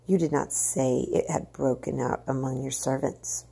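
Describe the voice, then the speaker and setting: A woman's voice: extremely low pitch, fairly even intonation, moderate pace, creaky, mellow, husky, full character voice. A woman voicing a boyish young army officer in a storybook, grave and trembling. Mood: grave, shaken.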